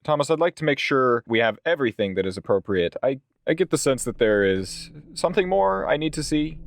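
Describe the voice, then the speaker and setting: A man's voice: mid-range voice, sing-song, brisk, creaky. A man chatting casually as a player in a tabletop role-playing game.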